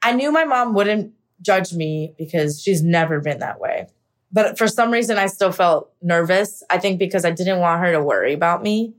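Young American female guest, candid personal storyteller, conversational, slightly low register. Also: expressive, moderate pace. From Pennsylvania, United States. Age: young adult. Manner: conversational, frequent "like" and "you know". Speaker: podcast guest, actor.

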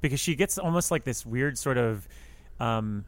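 A man with a middle-pitched voice in a casual podcast conversation about film. He speaks tentatively, pausing often as he feels his way through a thought. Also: animated, slight background noise. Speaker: podcast panelist.

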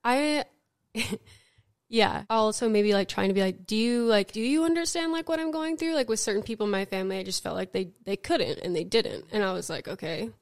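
Young woman, podcast guest, smooth clear voice, mid pitch, reflective, lots of "like". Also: fairly quick. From California, Bay Area. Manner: reflective, many "like" fillers. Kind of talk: casual podcast conversation.

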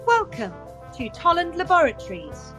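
Woman as high, lilting corporate announcer over music. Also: wildly swooping pitch, normal speed, heavily acted. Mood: welcoming. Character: bright, polished female corporate welcome announcer. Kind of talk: scripted promotional announcement in audio drama. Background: music with brass.

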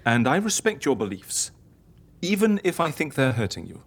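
An eccentric, theatrical woman healer, with a low, husky voice that swings wildly up and down. She coaxes playfully and persuasively, in audio drama style. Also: big pitch swings, moderate pace, full character voice. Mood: coaxing, solemn. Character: eccentric, theatrical female healer, coaxing and irrepressibly cheerful.

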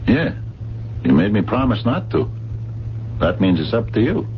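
An actor playing a gruff, reluctant diner owner in a radio drama, weary and terse, with a gravelly voice. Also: male voice, sing-song, moderate pace. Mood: reluctant, somber. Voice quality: deep, gravelly. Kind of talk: 1970s radio drama acting. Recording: muffled vintage radio broadcast, faint hum.

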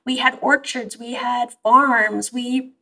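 A woman, born in Iran and raised in the US, telling stories in an animated, conversational way as an interview guest. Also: on the high side, slow, gravelly, far from the mic. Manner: animated storytelling, quoting herself and her mother. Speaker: therapist guest.

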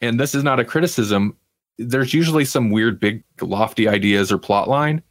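A man's voice: medium pitch, moderate pace, dry recording, studio quality. A man co-hosting a TV review podcast, chatting casually in a rambling, opinionated way with frequent asides. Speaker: podcast co-host reviewing TV series. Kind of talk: casual chat.

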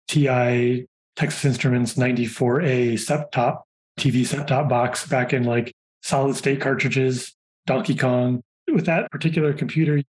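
Man, forties, podcast guest, engineer, relaxed storyteller, chatty. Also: medium pitch, low-quality recording. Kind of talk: casual conversational interview.

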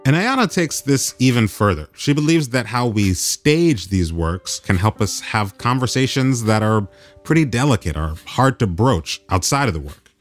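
A man's voice: medium pitch, very animated, moderate pace, soft background music. A man hosting a radio program, moving between narration and conversation. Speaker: radio host.

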